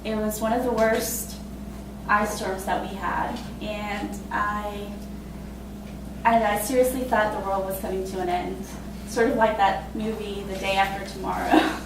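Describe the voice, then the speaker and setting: A woman in her twenties from Costa Rica, telling her own story in a casual, halting way with many fillers and laughs. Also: mid-range voice, level tone, heavy reverb, recorded from a distance, noisy background. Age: 20s. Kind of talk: personal spoken testimony.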